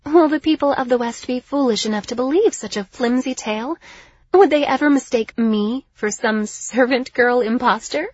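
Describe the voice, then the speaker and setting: A woman's voice: fairly high pitch, a little slow, husky, dry recording, dull audio, pitched above the performer's own voice. A woman voicing a haughty, indignant young noblewoman in an audiobook, with a pompous, formal and imperious delivery. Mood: condescending.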